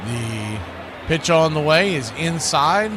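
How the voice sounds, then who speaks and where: Male play-by-play caller, folksy and fond of nicknames, fairly high voice, noisy ambient backdrop. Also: expressive, slow. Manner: folksy, uses nicknames and catchphrases. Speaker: baseball play-by-play announcer. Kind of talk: live sports commentary.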